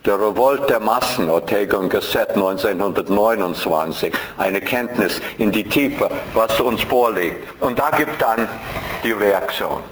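A man's voice: lowish voice, a little slow, slight background noise. Man lecturing passionately in an echoey hall. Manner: dramatic, emphatic, repeats key phrases, voice rising sharply at climaxes; non-native German grammar. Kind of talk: impassioned lecture.

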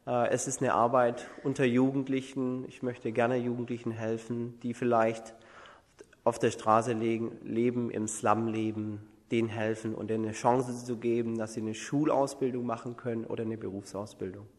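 A man's voice: mid-range voice, little pitch movement. A man from Germany, a youth worker, gives an earnest self-introduction at a church service, hesitating now and then, recorded in a large church. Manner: earnest, halting with fillers. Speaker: youth worker sent as missionary. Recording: long church reverb, distant mic.

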